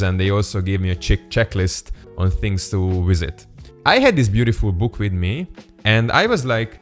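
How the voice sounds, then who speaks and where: A young man whose first language is Hungarian, talking earnestly and upbeat to camera in a casual vlog, with small laughs and restarts. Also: deep voice. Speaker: vlogger. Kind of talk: casual direct-to-camera talk.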